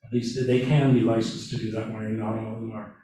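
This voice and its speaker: A man giving a webinar presentation, speaking haltingly with restarts and fillers, recorded from a distance in a meeting room. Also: lowish voice, little pitch movement, dark tone, slight background noise. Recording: echoey room, from far off.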